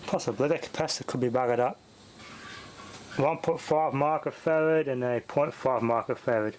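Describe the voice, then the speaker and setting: A man's voice: medium pitch, normal speed, darkish tone, a little hiss or hum. A man giving casual, off-the-cuff repair commentary as a hobbyist tinkerer, muttering to himself in short fragments as he thinks aloud.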